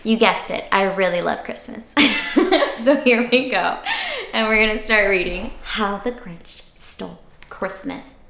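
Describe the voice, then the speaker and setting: A woman's voice: medium pitch, unhurried, vocal fry, fairly bright tone, echoey room, slight background noise. A woman hosting story time, reading aloud to children in a theatrical, playful and interactive way. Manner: theatrical, playful, addresses young listeners. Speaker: story time host and reader.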